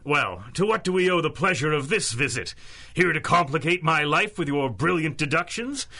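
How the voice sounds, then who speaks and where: A man's voice: fairly high pitch, expressive, normal speed, sharp tone, exaggerated voice. A man acting an exasperated, sardonic senior policeman with a dry, commanding manner in a 1930s-style radio drama. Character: exasperated, sardonic veteran police officer in charge.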